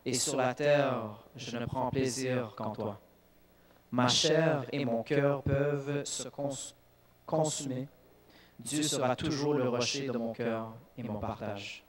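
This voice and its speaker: A man, a native English speaker, preaching in a church with lively bursts of emphasis and frequent restarts. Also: medium pitch. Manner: animated preaching with restarts and self-corrections. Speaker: youth speaker. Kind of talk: sermon.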